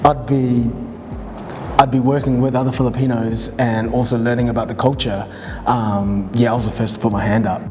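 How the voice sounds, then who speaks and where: A man's voice: mid-range voice, flat delivery, moderate pace, a little mellow. A man, an actor, speaking openly and plainly in an interview. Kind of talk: reflective interview. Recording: reverberant room with faint music.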